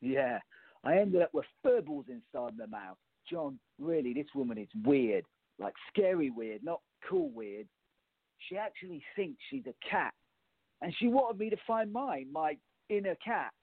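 Man acting a flustered, raspy young bachelor. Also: fairly high pitch, very animated, a little slow, dry recording, full character voice. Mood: shaken, exasperated. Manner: flustered, sarcastic. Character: nervous, exasperated young bachelor fleeing a weird date. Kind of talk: farce radio play acting. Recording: muffled, phone-like narrow-band audio.

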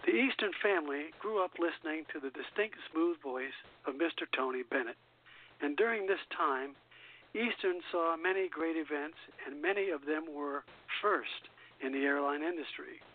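A man's voice: a little high, moderate pace. An older man presenting a nostalgia radio show, moving between reading out prepared notes and chatting. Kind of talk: scripted narration and chat on a nostalgia radio show. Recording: tinny phone-like line.

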